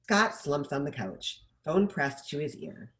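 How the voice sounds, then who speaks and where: A woman's voice: low-pitched, big pitch swings, unhurried, a bit bright. A woman narrator reading the stage directions of a screenplay in scripted narration.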